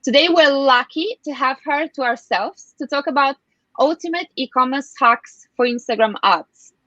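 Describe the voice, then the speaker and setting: A woman's voice: high-pitched, normal speed, fairly bright tone, no room sound. A woman hosting a live online interview, effusive in her wording and a little hesitant in phrasing. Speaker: host, interviewer. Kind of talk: live online interview, scripted introduction and questions. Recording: dull, lo-fi, dry sound.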